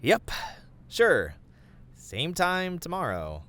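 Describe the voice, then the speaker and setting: A man's voice: fairly high pitch, wildly swooping pitch, extremely slow, pushed and bright, voice pushed up, heavily acted. A man voicing a friendly, curious, awkward rabbit who runs a cafe, in an audio roleplay, often stammering. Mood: friendly, casual. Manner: hesitant, stammering when startled. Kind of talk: character acting in an audio roleplay.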